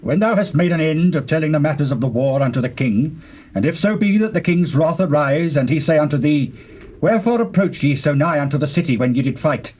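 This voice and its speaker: A stern army commander in a biblical drama. His British voice sits fairly high and rings out with forceful, declamatory delivery. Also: animated, a little fast, poor audio. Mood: urgent, stern. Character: stern military commander briefing a messenger. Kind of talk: dramatized scripture acting in archaic English.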